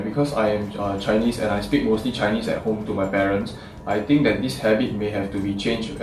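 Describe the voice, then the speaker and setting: A young male student with a lowish voice talks through a prepared reflection, thoughtful and unhurried. He sits back from the mic in a room that echoes, and music plays behind him. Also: distant mic. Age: young adult. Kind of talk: prepared reflection, semi-read.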